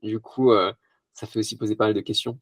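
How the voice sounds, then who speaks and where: Un homme animateur de podcast, enrhumé, qui parle avec enthousiasme en butant souvent sur ses mots. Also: male voice, on the low side, fairly even intonation, fairly quick, no echo. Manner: enthusiastic, stumbling restarts. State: has a cold. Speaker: podcast host. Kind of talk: casual interview talk.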